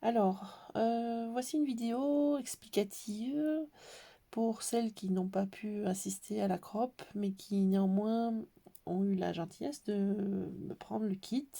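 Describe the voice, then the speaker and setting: A woman with a cold explaining a scrapbook album in a hesitant, casual way, with many pauses and fillers. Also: medium pitch, fairly even intonation, vocal fry, warm tone. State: has a cold. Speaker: scrapbooking tutorial maker. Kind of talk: casual craft tutorial.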